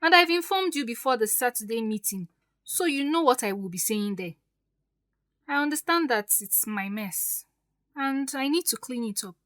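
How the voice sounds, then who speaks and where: A woman's voice: on the high side, expressive, moderate pace, a bit bright, dry recording, clean studio sound. A woman playing a defiant, hurt stepdaughter in an audio drama, speaking emphatically and with grievance. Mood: firm, weary. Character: defiant, wounded grown stepdaughter standing up for herself.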